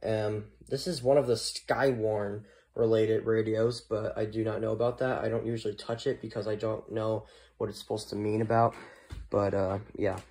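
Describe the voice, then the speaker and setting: A man giving a casual, unscripted show-and-tell as a hobbyist presenter, halting and often trailing off, recorded indoors at home. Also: fairly low pitch, flat delivery, darkish tone. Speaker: hobbyist presenter of a weather radio collection.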